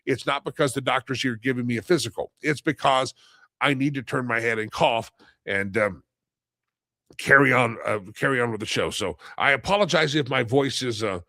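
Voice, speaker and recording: A male talk show host rambles through his live stream, slightly nasal from a head cold, dropping fillers as he goes. His voice sits at an ordinary pitch and sounds close and dry, with almost no room around it. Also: normal speed, bright, forceful tone, no room sound.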